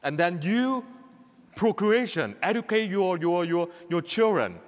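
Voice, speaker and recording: Vietnamese-accented priest, slow and lively. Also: male voice, sits high, long reverb tail. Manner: repetitive, emphatic, exhorting, simple halting English. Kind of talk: homily.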